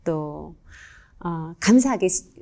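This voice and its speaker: A woman's voice: fairly low pitch, lively intonation, takes it slowly, gravelly, dark tone. A middle-aged or older woman, a painter, answering interview questions in an earnest, polite and reflective way.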